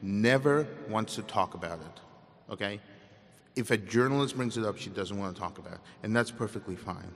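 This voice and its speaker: A young man with a Russian accent speaks in a fairly low voice, taking questions in an unscripted, candid way. He often stops mid-thought and restarts. A long reverb rings around him in the big hall. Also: moderate pace, gravelly. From Ukraine. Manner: candid, self-interrupting, conversational. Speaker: guest speaker. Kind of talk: Q and A at a convocation. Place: large hall.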